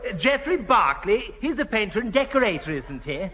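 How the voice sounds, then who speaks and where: High-voiced, prim, fussy man. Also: very animated, brisk, bright, forceful tone, breathy edge, exaggerated voice. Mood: earnest. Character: fussy, hesitant, well-meaning male church neighbour, easily exasperated. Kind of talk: 1970 British radio sitcom acting. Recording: hissy old radio recording.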